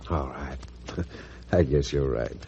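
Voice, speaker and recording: A weary husband in a vintage radio soap. His voice is extremely deep and very slow, on a dull, noisy old recording. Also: creaky, mellow, full character voice.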